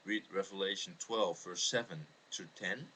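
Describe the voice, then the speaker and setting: A man with a Dutch accent explains his views in a halting, earnest way, speaking unscripted into a webcam. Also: low-pitched, pushed and bright. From the Netherlands. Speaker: amateur video maker answering a viewer's question. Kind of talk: unscripted spoken video response on religion. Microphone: webcam microphone. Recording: noisy home webcam recording.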